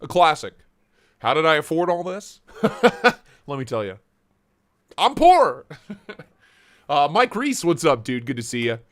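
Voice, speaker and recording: Lively joking man hosting a stream. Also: fairly high pitch, a little slow, pauses often, no room sound, studio quality. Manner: joking, greets chat viewers by name. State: losing his voice. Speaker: live stream host. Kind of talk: casual live stream chat.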